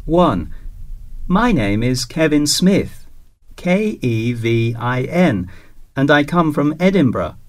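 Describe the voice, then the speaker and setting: A man's voice: on the high side, sing-song. A man reads practice dialogues for English learners, with a voice that rises and falls a lot. He takes his time and spells each name out letter by letter. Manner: slow, clear, careful enunciation. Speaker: voice actor in listening exercise. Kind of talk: scripted English listening dialogue.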